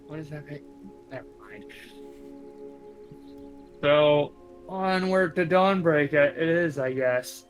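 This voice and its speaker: Hesitant man with a higher-pitched voice. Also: takes it slowly, long pauses. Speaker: player in a tabletop roleplaying game. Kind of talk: casual in-character improvised roleplay chat. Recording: music behind the voice. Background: music.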